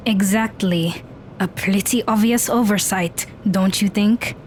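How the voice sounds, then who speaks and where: A woman's voice: mid-range voice, measured pace, darkish tone, slight background noise, natural voice. A woman playing an aloof, critical young woman in an audio drama, with a dry, dismissive manner. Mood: skeptical.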